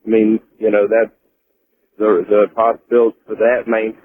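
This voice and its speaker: A man's voice: on the low side, completely flat delivery, normal speed. An American man, a trucker, talking casually as a caller to a radio show. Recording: thin phone line on radio.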